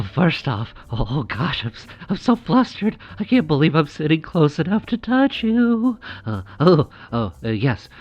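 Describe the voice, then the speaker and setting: A man's voice: mid-range voice, highs cut off. A man playing a nervous, adoring, creepy superfan with a hesitant, awkward, eager manner in a comedic audio drama. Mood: flustered, starstruck.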